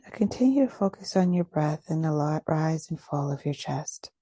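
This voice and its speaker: A woman leading a guided meditation in a soft, breathy voice, soothing and unhurried, pausing between short phrases. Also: sits low, expressive, no echo. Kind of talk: guided meditation, gentle scripted instruction.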